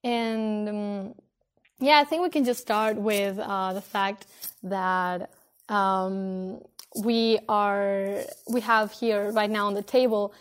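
A woman's voice: mid-range voice, fairly even intonation, takes it slowly, smooth. A woman in her twenties from Spain, hosting a casual podcast chat with friends, loose and laughing with many fillers. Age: 20s. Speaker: podcast host.

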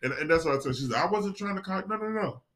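A man's voice: high voice, quick pace. An American man chatting casually as a stage actor, telling stories animatedly and repeating himself for emphasis. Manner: animated, acts out quoted dialogue, repeats phrases for emphasis. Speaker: stage play actor, panel guest. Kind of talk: casual group chat, storytelling.

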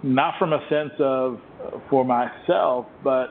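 A man from Puerto Rico whose first language is Spanish, hosting an interview and speaking haltingly, often repeating his words. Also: medium pitch, creaky. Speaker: host. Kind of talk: interview conversation. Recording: muffled phone line, faint hiss.

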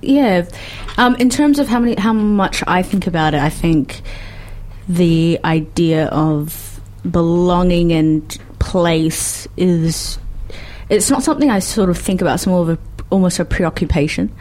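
A woman in her twenties with a New Zealand accent, speaking thoughtfully and in a measured way as a podcast guest. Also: lowish voice, darkish tone, poor audio. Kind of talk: reflective conversation.

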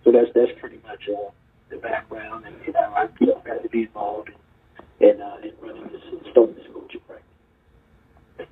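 A man's voice: on the low side, fairly even intonation, moderate pace, pauses often, slightly rough. A middle-aged man from South Carolina, a retired police chief telling his story in an earnest, rambling way as a podcast guest. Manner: earnest, storytelling, many fillers and restarts. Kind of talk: casual podcast interview. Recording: tinny phone-line audio.

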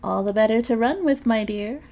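A woman's voice: on the high side, moderate pace, warm tone, close to an everyday voice. A woman voicing a cunning, hungry male wolf who coaxes and disguises his voice. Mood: sly. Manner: sly, coaxing, disguising his voice.

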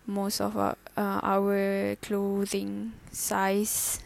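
A twenty-year-old woman, introducing her first podcast in a halting, self-conscious way, full of fillers and giggles. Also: mid-range voice, completely flat delivery, gravelly. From Malaysia. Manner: hesitant, halting, with many fillers and self-corrections. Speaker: student podcaster. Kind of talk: casual solo podcast intro.

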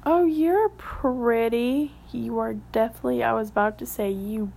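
A woman's voice: a little high, unhurried, gravelly, darkish tone. A woman in her late teens giving casual, rambling commentary as a vlogger, with the odd whispered aside.

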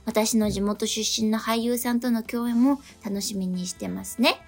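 A young woman speaks as a radio co-host in a somewhat high voice. She reads out listener letters and chats along, with faint music behind her. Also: steady tone, moderate pace.

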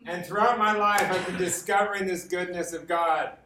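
American man preaching, high-pitched, in echoey room. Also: fairly even intonation, normal speed, noisy background. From Los Angeles, United States. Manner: informal, often chuckling, repeats words for emphasis. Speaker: guest preacher. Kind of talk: sermon with personal stories. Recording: roomy echo, distant from the mic.

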